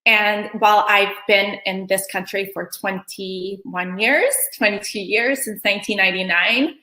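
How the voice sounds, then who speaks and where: A woman from Kosovo, Albanian her first language, hosts a video call in a bright, forward voice. She talks chattily, full of ums and restarts. The sound bounces around an echoey room. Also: medium pitch, steady tone, measured pace. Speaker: event host. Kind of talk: casual online meeting chat.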